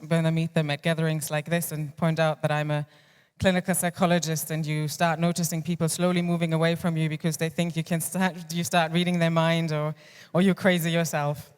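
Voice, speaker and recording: A German-born woman in her thirties, a clinical psychologist, speaks at a conference in a smooth, resonant voice. Her delivery is candid and reflective, with frequent restarts, and long reverb from a large hall trails after her words. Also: low-pitched. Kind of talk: personal conference talk.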